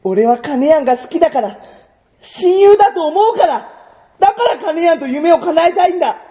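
A man's voice: very high voice, animated, normal speed, dark tone. A man playing a naive, earnest, childlike schoolboy in an amateur radio drama, with pleading, quirky phrasing.

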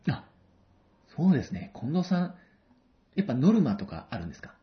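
A man's voice: medium pitch, sing-song, a little fast. A man hosting a casual chat, agreeing and playing along with short, bantering replies. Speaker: host. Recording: slightly dull, lo-fi audio.

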